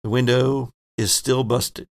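Casting: male game master, low-key tabletop narration, gravelly fry in the voice. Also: level tone, measured pace.

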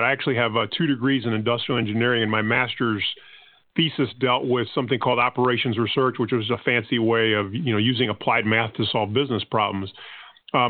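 A man's voice: mid-range voice, dry recording. Man from Panama, tinny phone line. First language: Spanish. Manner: measured, with frequent fillers and restarts. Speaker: guest, finance consultant. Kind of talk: podcast interview, explaining his background.

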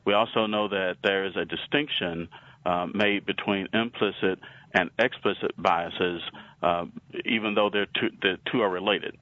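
Man, Southern accent, slide presentation, lowish voice, dull lo-fi sound. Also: fairly even intonation. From Alabama. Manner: measured, frequent uh fillers and restarts.

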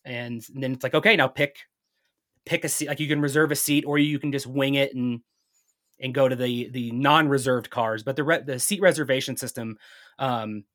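A man's voice: mid-range voice, fairly even intonation, normal speed, fairly bright tone. A man speaking native English in a casual chat as a podcast guest, telling things in a rambling, storytelling way.

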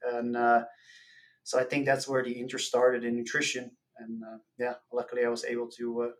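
Halting man being interviewed. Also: mid-range voice, completely flat delivery. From the Netherlands. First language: Dutch. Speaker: podcast guest, nutrition professor. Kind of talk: casual explanatory interview talk.

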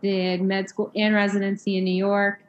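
A woman's voice: mid-range voice, level tone, moderate pace. A woman from New Jersey moderating a medical podcast panel in a friendly way. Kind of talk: casual panel talk.

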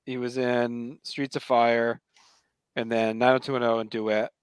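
A man's voice: mid-range voice, little pitch movement, measured pace, clear, resonant tone, no echo. A male podcast host gives a casual recap of a TV episode in a mid-range voice. He talks conversationally, slipping into quoted dialogue as he retells scenes. The sound is dry and close.

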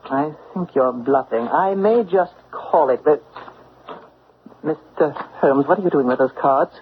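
A man's voice: fairly high pitch, measured pace, close to an everyday voice. A man playing a slippery upper-class card cheat in a classic radio drama, suave, affected and blustering. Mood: uneasy bluster. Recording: tinny, noisy old radio broadcast.

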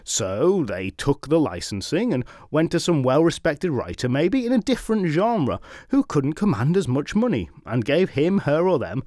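A man's voice: on the high side, very animated, normal speed, hardly any pauses, mellow, no echo, clean studio sound. A man hosting a podcast, retelling an old myth in a chatty way with wry, playful asides. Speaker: podcast host and storyteller.

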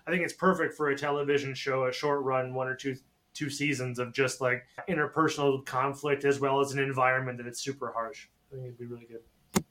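A man's voice: medium pitch, sharp tone. A man chatting casually as a panelist on a group podcast.

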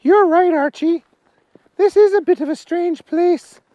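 A man putting on a bright, high-voiced woman. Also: measured pace, dry recording, highs cut off. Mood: friendly. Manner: high, lively and friendly.

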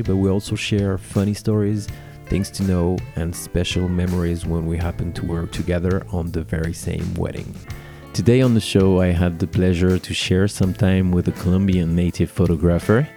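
A man's voice: sits low, mellow. A man from France hosting a podcast, reading a scripted introduction and then interviewing a guest. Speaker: podcast host, wedding officiant.

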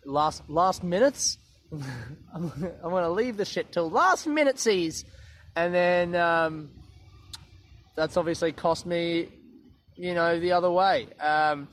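A comedian rambling through a sweary, self-mocking podcast monologue while walking outdoors. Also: male voice, high-pitched, a little slow, long pauses.

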